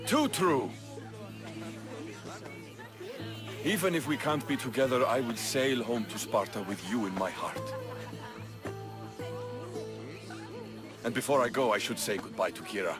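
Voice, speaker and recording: A man playing a proud, heartfelt young Spartan soldier, earnest and expressive, in a video game cutscene. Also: mid-range voice, fairly quick, music in the background, lightly acted. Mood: fond.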